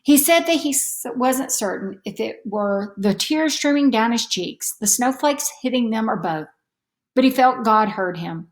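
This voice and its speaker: A woman reading a children's story aloud in an expressive, deliberate storytelling manner. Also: a little high. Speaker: storybook reader.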